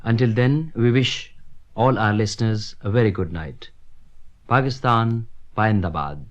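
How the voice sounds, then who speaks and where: A man reading a formal, measured, scripted station announcement on 1960s shortwave radio. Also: fairly low pitch, highs cut off. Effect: shortwave radio broadcast.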